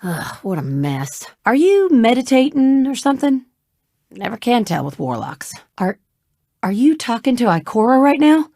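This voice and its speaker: A woman's voice: fairly high pitch, big pitch swings, moderate pace, mellow, no room sound, clean studio sound. A woman voicing a brash, wry, hardworking shipwright in a video game, with a casual, folksy, bossy and teasing manner. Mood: harried, exasperated. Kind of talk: video game character dialogue.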